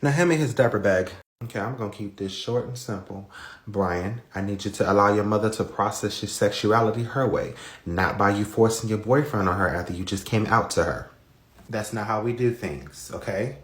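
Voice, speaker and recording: A man's voice: lowish voice, moderate pace, fairly bright tone, breathy edge, pitched below the performer's own voice. A man playing a stern, foul-mouthed mother and grandmother in a comedy skit, scolding bluntly. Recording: roomy echo.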